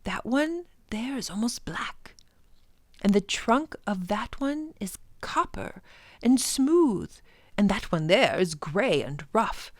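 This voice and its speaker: A woman voicing an earnest, enthusiastic male professor in a children's storybook, urging listeners on with dramatic emphasis and hushed words. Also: medium pitch, sing-song, takes it slowly, no room sound. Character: older male professor, passionate, earnest, wonder-filled teacher. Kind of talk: children's storybook read-aloud.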